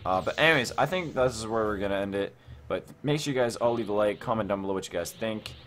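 A young man with a low voice hosts a gaming video. He talks casually through his play, thinking aloud in a rambling way. Music plays clearly behind him. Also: expressive, fast, fairly bright tone. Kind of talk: casual gameplay commentary.

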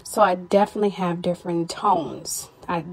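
Tired, stressed woman podcaster, low voice. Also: moderate pace, slightly rough. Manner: candid, weary, stressed, with frequent "you know". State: tired and somewhat hoarse. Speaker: podcast host. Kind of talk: casual personal update monologue.

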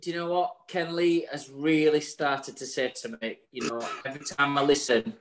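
A man in his forties co-hosts a chat show from home over a video call. His voice sits a bit high, and his talk is chatty and jokey, with loose banter and the odd swear word. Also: little pitch movement, normal speed, fairly bright tone. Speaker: co-host. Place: home room with virtual bar backdrop. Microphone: video call webcam.

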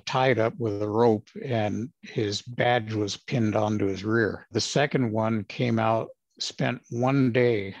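A man in his sixties, a retired ranger, telling his stories in a measured, plain-spoken way with many fillers. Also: on the low side, dry recording, dull audio. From Los Angeles, United States. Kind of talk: casual interview storytelling.

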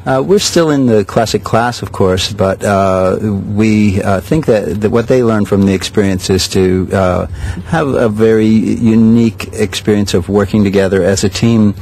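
An older man directing a sailing school, answering interview questions in a measured way with many pauses. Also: fairly low pitch, a little mellow, slight background noise, rough recording. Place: outdoors at a regatta.

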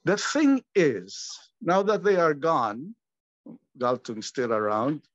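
An older man speaking at a memorial, measured and earnest, pausing often, talking from a small room at home over a video call. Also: on the high side, big pitch swings, no room sound. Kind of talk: slow reflective remembrance. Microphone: video call webcam. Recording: dull lo-fi video call audio.

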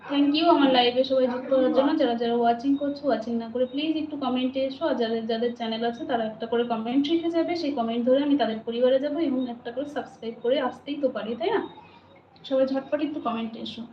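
A woman chats casually as a live stream host. She addresses viewers one by one, repeating names and questions and thanking them. The sound is echoey, with clear noise behind her. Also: sits high, fairly even intonation, very fast, lo-fi sound. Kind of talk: casual live chat with viewers, reading out comments. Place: at home.

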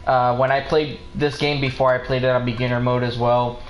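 A man's voice: mid-range voice, level tone, a little fast, a little hiss or hum. A man livestreaming a video game, chatting casually in rambling anecdotes with the odd swear word. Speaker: game streamer. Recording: roomy echo with game music behind.

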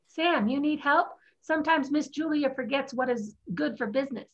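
A woman's voice: sits high, normal speed. A woman acting a practical, defiant young woman in a staged drama reading over a video call. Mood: calm, reassuring. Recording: dull video-call audio.

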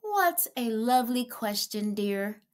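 A doting old grandmother from a children's storybook, played by a woman reader. She speaks slowly and gently, with an affectionate manner. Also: fairly high pitch, animated. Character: kindly, affectionate elderly grandmother.

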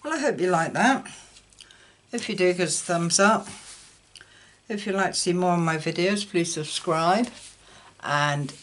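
Slow, low-voiced older woman. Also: a bit bright. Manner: chatty, self-deprecating. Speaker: card-making tutor. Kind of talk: casual crafting tutorial. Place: indoor craft table. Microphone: camera over a desk, hands only.

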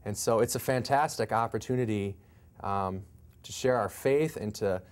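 A man's voice: lowish voice, normal speed, clean tone. A man in his thirties, a church leader, speaking steadily and encouragingly from a living-room sofa. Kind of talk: testimonial interview.

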